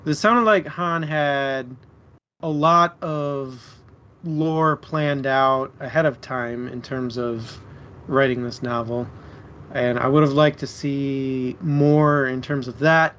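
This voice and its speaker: A man's voice: mid-range voice, fairly even intonation, a bit bright. A man co-hosting a book club stream, speaking hesitantly as he works out his thoughts. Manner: slow, hesitant, thinks aloud. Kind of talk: casual book discussion. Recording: lo-fi stream audio with faint background hiss.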